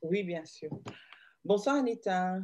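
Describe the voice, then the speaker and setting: A woman's voice: fairly low pitch, animated, unhurried, darkish tone. A woman from Belgium, a guest explaining her digital marketing work in a hesitant, filler-heavy way over a video call. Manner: hesitant, many fillers and restarts. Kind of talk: casual interview answers.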